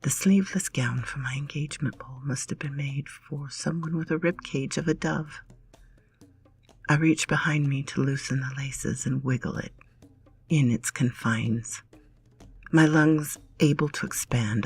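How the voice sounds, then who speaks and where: A woman narrating a story in a dramatic, breathy, often whispered style. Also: very deep voice, unhurried, soft background music.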